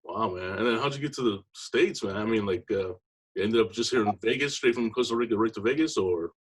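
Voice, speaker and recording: Low-voiced host, halting with fillers. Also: male voice, slightly rough. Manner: halting, heavy "uh" fillers, friendly "man". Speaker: interview host. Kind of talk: casual interview.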